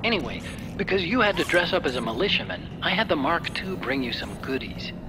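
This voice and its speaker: An actor playing a nerdy engineer giving a technical mission briefing over a radio link in a video game. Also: male voice, fairly high pitch, brisk, over music. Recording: game audio with steady noise.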